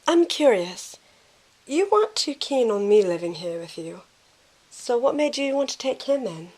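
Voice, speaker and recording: A woman playing a gentle, shy, encouraging companion with a soft, coaxing manner in an amateur audio drama. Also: a little high, very animated, normal speed. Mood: curious.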